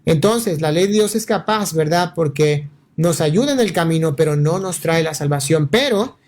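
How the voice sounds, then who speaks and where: A male pastor giving a Bible teaching on the radio in an earnest, steady way, often checking in with "right?" and restarting words. Spanish is not his first language. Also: a little high, moderate pace. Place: radio studio.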